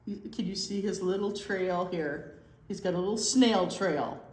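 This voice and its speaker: A woman's voice: lowish voice, unhurried, darkish tone. An older woman chatting casually and playfully to young children as a storytime teacher. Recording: echoey room.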